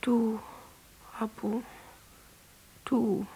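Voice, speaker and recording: An actress playing a frail, yearning young woman, once a slave, in a poetic, theatrical and often breathy radio drama style. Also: fairly high pitch, level tone, very slow, warm tone, full character voice, rough recording. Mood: trembling wonder. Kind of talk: literary German radio drama acting.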